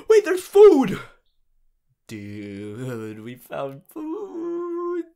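Casting: male actor as goofy teenage anime boy, whiny and melodramatic, slow drawn-out delivery with wildly swinging pitch. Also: wildly swooping pitch, gravelly, dark tone, heavily acted. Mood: excited. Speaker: voice actor in fan audio roleplay. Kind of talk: fan audio roleplay addressed to the listener.

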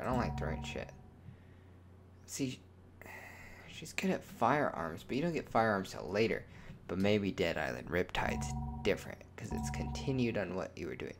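A man's voice: on the low side, gravelly. Profane man commentating a game over music. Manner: casual, profane, muttering to himself. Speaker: gaming video host. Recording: music behind the voice. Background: loud game music.

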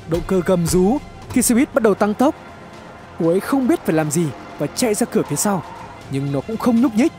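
A male narrator tells a dramatic horror tale with plenty of suspense. His voice sits high for a man and swings widely in pitch, yet the tone stays mellow. Faint music plays behind him. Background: background music.

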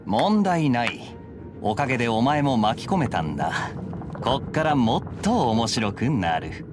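A cocky, scheming young knight captain from an anime game. He talks slowly and suavely in a mid-range male voice. Music plays clearly behind him. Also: mid-range voice, sing-song. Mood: sly, menacing. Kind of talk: Japanese anime game dialogue.

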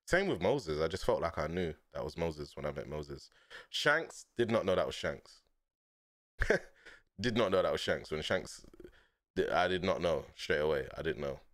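Laid-back man with lively pitch. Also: no echo, clean studio sound. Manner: laid-back slangy storytelling, laughs often. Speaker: streamer. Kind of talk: casual stream chat. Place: small dim room at home. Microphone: headset.

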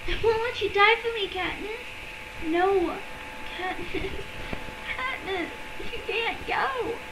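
A woman's voice: very high-pitched, slow pace, pitched above the performer's own voice. A woman voicing a devoted, frightened little sister, pleading emotionally through a crackly computer mic. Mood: desperate. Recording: crackly, buzzing built-in computer microphone.

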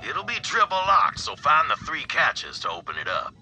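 An actor playing a refined, world-weary gentleman heir in a video game, with a formal, theatrical, drawling delivery. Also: fairly high pitch, wildly swooping pitch, moderate pace, faint music behind, heavily acted. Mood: intrigued.

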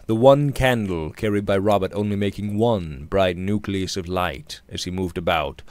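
A man in his thirties narrating a Victorian novel theatrically, with measured, emphatic phrasing, close to the microphone in a small room. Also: fairly low pitch, a little mellow, clean tone, no room sound. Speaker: audiobook narrator. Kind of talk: dramatic reading of a Victorian novel. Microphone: studio condenser microphone on a boom arm, close up.

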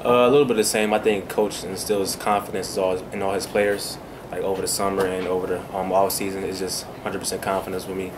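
Deep, flat-voiced young player, quick. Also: male voice, background noise. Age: young adult. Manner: brief, modest, few words. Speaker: senior college basketball player. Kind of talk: postgame press conference answers. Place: small press room, indoors.

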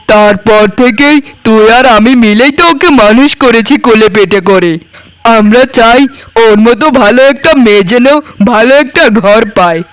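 A man's voice: very high voice, fairly bright tone, clean tone, dry recording, low-quality recording. A man voicing a devoted, hardworking village farmer in a cartoon, an earnest and sentimental brother. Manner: earnest, affectionate. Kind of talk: Bengali cartoon voice acting.

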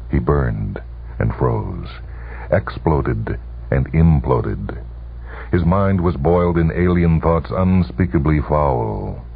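A man giving a dramatic scripted narration in 1970s radio style, grave and intense, with deliberate phrasing. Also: very deep voice, gravelly. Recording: tinny, faintly noisy old radio recording.

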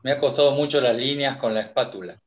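A man's voice: medium pitch, fast, background noise. A middle-aged man, a student on a video call, speaking modestly and gratefully about his painting. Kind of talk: casual chat. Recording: roomy dull call audio.

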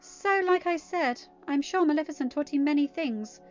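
A woman voicing a condescending, officious young woman of a royal court in a story reading, brisk and patronizing. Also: sits high, highs cut off, faint music behind, voice pushed up, close to an everyday voice.

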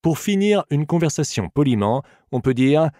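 A man's voice: a little high, big pitch swings, brisk, mellow, dry recording, clean studio sound. A man narrating a scripted bilingual audiobook lesson as a teacher, addressing the listener in an encouraging way.

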